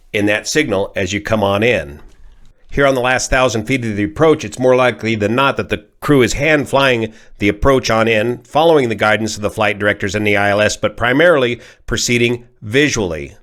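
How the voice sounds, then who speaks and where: An American man, a pilot from California, giving an informal analysis in a measured, methodical, technical way. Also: lowish voice, a bit bright. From California, United States. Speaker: pilot and aviation commentator. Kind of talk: informal explanatory accident analysis.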